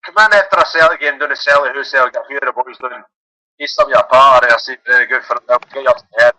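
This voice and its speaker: A man's voice: mid-range voice, steady tone, racing, bright, forceful tone, no echo, low-quality recording. A man from Glasgow with a Glaswegian accent, calling in to a phone-in and chatting casually in loose run-on phrases. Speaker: caller.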